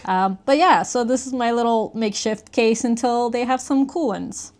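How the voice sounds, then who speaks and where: A woman's voice: fairly high pitch, moderate pace, darkish tone. A woman giving a casual, conversational product review, explaining things as she goes.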